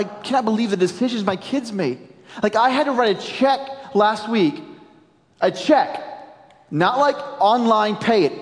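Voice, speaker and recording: A man in his forties preaching a sermon as a pastor, animated and jokey, dropping suddenly to quiet emphasis. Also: high-pitched. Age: 40s. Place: large church auditorium. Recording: long hall reverb.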